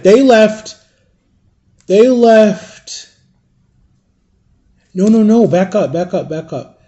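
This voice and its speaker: A man's voice: high-pitched, mellow. A man in his thirties from Florida, telling a rambling storytime to his viewers and correcting himself as he goes. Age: 30s. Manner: rambling, self-correcting, chatty. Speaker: vlogger. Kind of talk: casual storytime chat to viewers.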